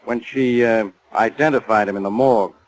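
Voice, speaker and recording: An actor playing a gruff, matter-of-fact police inspector with an American accent in 1940s radio drama style. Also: male voice, on the low side, normal speed, close to an everyday voice, rough recording. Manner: blunt, slangy. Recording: remastered 1940s radio recording.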